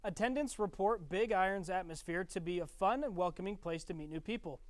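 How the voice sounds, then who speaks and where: A woman's voice: fairly low pitch, a little fast, no room sound. Young female co-anchor, student studio news, playful and light. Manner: playful, self-deprecating. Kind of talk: news reading and light banter. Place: college TV studio.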